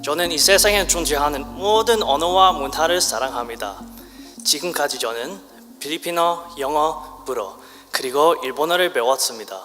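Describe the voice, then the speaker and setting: A man in his twenties delivers a polite, well-rehearsed speech from a stage. He speaks very fast in a slightly high voice. His words carry into the long reverb of a large hall, with faint music underneath. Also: sharp tone. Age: 20s. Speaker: speech contest contestant. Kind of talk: formal prepared speech.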